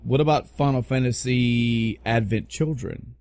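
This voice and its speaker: A man's voice: medium pitch, measured pace, a little mellow, dry recording. A man in his thirties chatting casually on a podcast, blunt and joking, swearing freely and repeating phrases for emphasis. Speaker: podcast co-host. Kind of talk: casual opinionated podcast chat.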